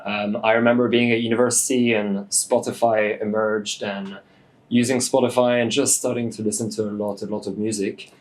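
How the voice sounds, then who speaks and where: A man in his late twenties, French-born and raised in Hong Kong, talking in a relaxed, thoughtful way as a DJ guest, with many fillers. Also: on the low side, moderate pace. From France, raised in Hong Kong. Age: 20s. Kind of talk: casual interview.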